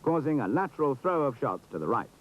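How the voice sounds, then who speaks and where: A man's voice: medium pitch, big pitch swings, quick pace, a little hiss or hum. A British man giving formal, precise, authoritative scripted narration for an instructional film. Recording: dull, muffled 1970s film soundtrack.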